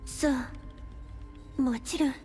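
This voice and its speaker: A woman's voice: high-pitched, steady tone, slow, mellow. A synthetic woman's voice playing a determined young mother and game heroine in anime style. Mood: earnest, resolute. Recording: synthetic voice with music behind.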